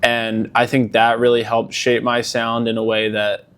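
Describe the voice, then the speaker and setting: A young man from Delaware County, Pennsylvania, a musician guest in a casual interview, talking in a relaxed, rambling way with lots of fillers. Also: medium pitch, a little slow.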